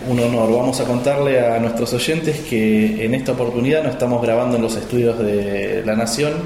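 A man's voice: mid-range voice, moderate pace, a little mellow, a little hiss or hum. A man with an Argentine accent hosting a podcast interview, asking long, winding questions from a hotel room. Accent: Argentine Spanish. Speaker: podcast host. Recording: roomy echo with faint music.

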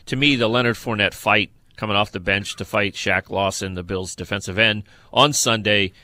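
A sports podcast co-host, a man with a bright, forceful voice pitched somewhat low. He talks football casually and haltingly, pausing to search for details. The sound is dry and close. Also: fairly even intonation, no room sound. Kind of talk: casual sports talk, recapping and previewing football.

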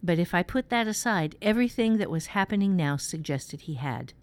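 A woman reading a fantasy story as its steady, protective boy narrator, in measured phrases. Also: fairly low pitch, lightly acted. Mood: urgent. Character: first-person boy narrator, steady, protective dormitory pupil.

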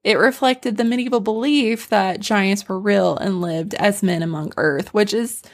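A young woman hosting a casual podcast who is losing her voice, retelling dark fairy tales with frequent laughter. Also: mid-range voice, unhurried. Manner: animated storyteller, laughs often. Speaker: podcast host.

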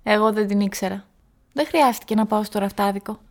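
An actress plays a young female student in a radio play. She has a medium-pitched voice and a frank, matter-of-fact way of answering as a neighbour under questioning. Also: close to an everyday voice. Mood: curious, cautious. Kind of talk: radio drama acting.

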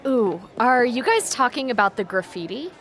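A woman's voice: a little high, very animated, moderate pace, a bit bright. A woman playing a dismissive, mocking teenage girl in an audio drama. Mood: gossipy. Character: snide, popular teenage girl, dismissive and bored.

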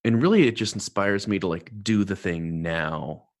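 A man's voice: low-pitched, expressive, moderate pace. A man hosting a casual interview, asking conversational questions and sharing encouraging thoughts. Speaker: podcast host.

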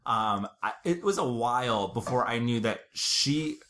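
A man of middle pitch co-hosting a casual podcast chat. He rambles, circling his points with fillers and restarts. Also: expressive, a little slow, a bit bright. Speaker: podcast host.

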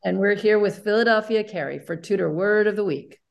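A woman's voice: medium pitch, fairly quick, creaky. A woman co-hosting a podcast in an upbeat style of presenting and chat. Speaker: podcast co-host.

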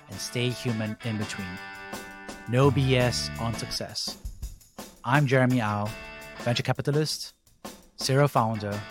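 A man hosting a podcast, delivering the show intro and ad reads in an upbeat, energetic manner. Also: mid-range voice. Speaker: podcast host.

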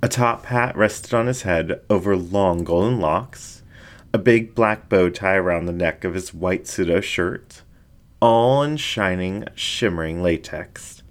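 A man's voice: deep voice, a little slow. A man narrating a story in a dramatic, scripted audiobook style.

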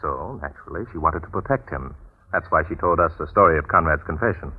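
An actor playing a wry, courteous amateur sleuth who explains things patiently in a 1940s radio drama. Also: male voice, extremely low pitch, fast, husky. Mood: explaining. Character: unflappable, wry amateur sleuth and keen fisherman. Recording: muffled vintage 1940s radio recording.

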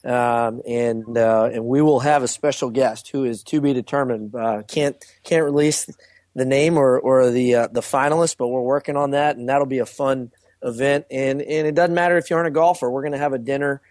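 Male association director, mid-pitched, dry close sound, halting with many fillers. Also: fairly even intonation, darkish tone, dry recording. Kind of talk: casual podcast chat.

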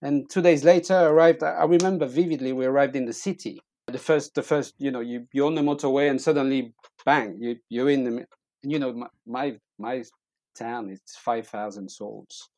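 A man's voice: medium pitch, normal speed, darkish tone, dry recording. French-accented middle-aged man, dry close sound. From eastern France. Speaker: interview guest. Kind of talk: personal storytelling in casual conversation.

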